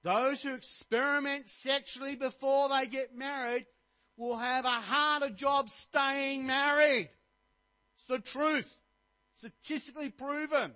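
Middle-aged man, pastor, animated sermon delivery, fairly high voice, dry close sound. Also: unhurried, dry recording, poor audio. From Australia. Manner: animated preaching, rising to emphatic points.

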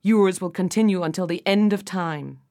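Woman doing an angry old-time king, loud, commanding, cursing storybook ruler. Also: fairly high pitch, moderate pace, gravelly. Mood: wrathful. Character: grieving, wrathful ancient king.

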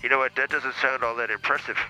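A man's voice: medium pitch, fairly even intonation, quick pace, sharp tone, faint music behind, low-quality recording. A man doing a cranky foul-mouthed old priest. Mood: badgering. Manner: blustery, accusing, stammers and repeats himself. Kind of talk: comedy character call-in.

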